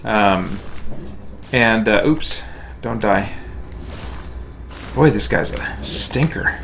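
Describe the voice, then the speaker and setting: A man's voice: on the low side, very slow, gravelly, fairly bright tone, a little hiss or hum. Easygoing American man chatting while gaming. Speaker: game streamer, host. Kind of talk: casual chat while gaming.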